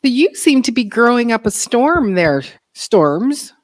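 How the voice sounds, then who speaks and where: A woman's voice: fairly high pitch, big pitch swings, a little slow, no room sound, studio quality. A woman hosting a casual livestream, chatting with viewers and a guest, laughing often and filling her talk with ums and restarts. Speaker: livestream host.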